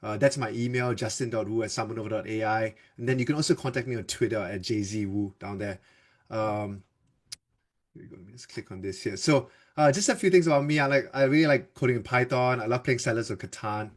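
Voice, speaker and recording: Singapore guy doing a casual webinar talk, lots of uhs and restarts, mid pitch. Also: a little fast. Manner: loose and conversational, with frequent fillers and restarts. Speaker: developer advocate presenter. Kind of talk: casual tech presentation.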